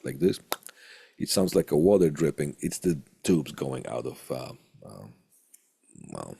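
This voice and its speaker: Man, very low husky voice, college instructor, hesitant pauses, informal lecture, close dry studio mic. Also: sing-song, dark tone, dry recording. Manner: halting, hesitant delivery with long pauses. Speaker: college instructor teaching audio equipment. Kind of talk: informal recorded lecture over slides. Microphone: close studio condenser microphone through tube preamp.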